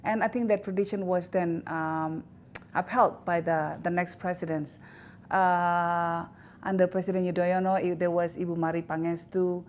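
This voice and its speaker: A woman from Indonesia with an Indonesian accent, answering interview questions in a halting way with many fillers and restarts. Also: on the low side, steady tone, darkish tone, slight background noise. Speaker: interview guest, institute director. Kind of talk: recorded news interview.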